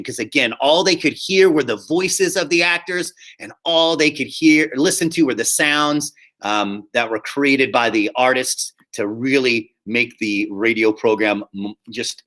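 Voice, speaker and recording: A man teaching a high school theater class online, explaining slides in a conversational, repetitive way. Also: pushed and bright. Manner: conversational and explanatory, often repeats himself. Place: live online class over video call.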